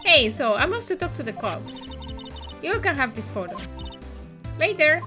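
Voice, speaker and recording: A woman's voice: high-pitched, big pitch swings, moderate pace, sharp tone, faint music behind, pitched above the performer's own voice, heavily acted. A woman voicing a brash, nosy woman photographer with an American Southern accent, in a folksy, emphatic way.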